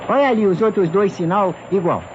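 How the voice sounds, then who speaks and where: An actor playing a deferential, puzzled police assistant in an old radio mystery, speaking haltingly with repeated words and question tags. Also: male voice, high-pitched, natural voice. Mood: eager, deferential. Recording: muffled, hissy old radio recording.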